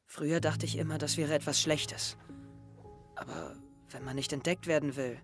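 A man's voice: a little high, steady tone, normal speed, close to an everyday voice. Anxious, introspective teenage boy, played by a young man, for tense audio drama monologue over music. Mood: brooding. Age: young adult. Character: anxious, brooding teenage boy confiding his suspicions.

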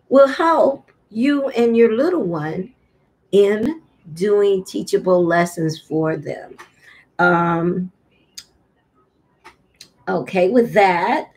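Slow-paced middle-aged American woman teacher. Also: mid-range voice. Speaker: early childhood teacher. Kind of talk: informal video message to parents and toddlers. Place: small indoor room at home. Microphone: laptop webcam at arm's length.

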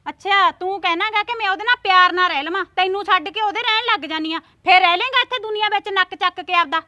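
Shrill, bright-voiced village wife, played by a woman, fast and cutting, dry close sound. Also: no room sound, muffled recording. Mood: scolding. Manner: scolding, biting, quick retorts. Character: sharp-tongued village wife, fed up. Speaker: actor in a family quarrel skit.

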